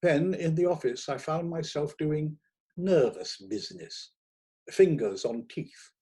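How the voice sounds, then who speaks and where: A man narrating a first-person short story in a theatrical, expressive manner, savouring his words. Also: on the high side, husky. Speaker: audiobook narrator.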